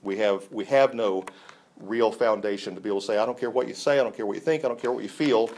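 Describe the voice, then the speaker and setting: A man's voice: on the low side, fast, darkish tone. An enthusiastic man teaching a Bible class, talking conversationally with frequent restarts and fillers.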